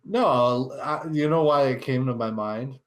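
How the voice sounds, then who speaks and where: A man with a mid-pitched voice speaks at a slow pace as co-host of a casual podcast. He muses out loud in informal, halting phrases. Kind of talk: casual pop-culture podcast chat.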